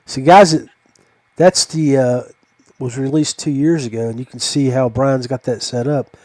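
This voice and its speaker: An older man hosting a fishing podcast, talking casually in a halting, rambling way. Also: mid-range voice, fairly even intonation, a little mellow, no room sound.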